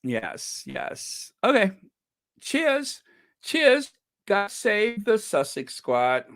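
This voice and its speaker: A live-stream host winds down a broadcast in loose, rambling talk and greets chat viewers by name. The delivery is slow and unhurried, and the pitch swings widely as they joke and chuckle. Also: sing-song, fairly bright tone. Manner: rambling, joking, chuckling at own jokes.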